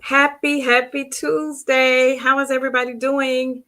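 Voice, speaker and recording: A middle-aged woman with a hoarse voice, hosting a live stream and warmly greeting viewers by name in the chat. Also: high voice, little pitch movement, unhurried. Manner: bubbly, repetitive greetings. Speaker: livestream host.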